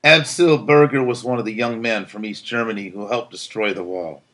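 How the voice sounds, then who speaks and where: A man's voice: lowish voice, moderate pace, fairly bright tone. A man reading a narrative aloud as a teacher would, in a dramatic, emphatic storytelling style. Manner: theatrical, emphatic delivery.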